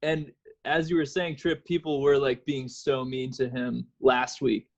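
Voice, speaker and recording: A young man with a gravelly, creaky voice at a middling pitch. He speaks casually as a podcast co-host, and the sound is a little dull and lo-fi. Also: flat delivery, measured pace. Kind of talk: casual chat.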